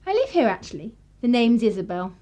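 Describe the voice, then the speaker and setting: An actress plays a spirited young upper-class Englishwoman of the 1930s in a radio drama. Her voice sits high and moves about in lively swings. She is arch and sardonic, with clipped period phrasing. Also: fairly quick. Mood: breezy, teasing. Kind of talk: period radio play acting, narration and dialogue.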